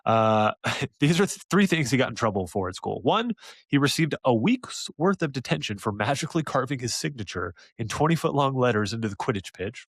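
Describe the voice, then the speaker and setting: A man's voice: medium pitch, sing-song, brisk, dry recording, clean studio sound. A man co-hosting a podcast in casual chat, rambling and hesitant, laughing often. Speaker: podcast co-host.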